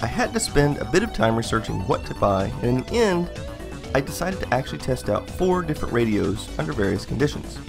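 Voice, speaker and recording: American man, creaky voice, methodical scripted review narration, plain delivery, faint music behind. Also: mid-range voice. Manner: plain, methodical, matter-of-fact. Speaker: product reviewer, channel host. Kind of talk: scripted narration of a product test.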